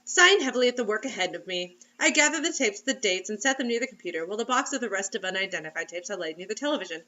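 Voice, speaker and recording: A woman's voice: medium pitch, animated, rapid-fire, sharp tone, highs cut off. A woman reading a story aloud as host in a playful, theatrical, mocking way, breaking into casual comic asides. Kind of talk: comedic dramatic reading with casual commentary.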